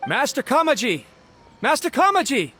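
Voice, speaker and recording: A man's voice: very high voice, very animated, moderate pace, background noise. A man playing an anxious young clan retainer in a video game, stammering and formally apologetic. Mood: worried calling.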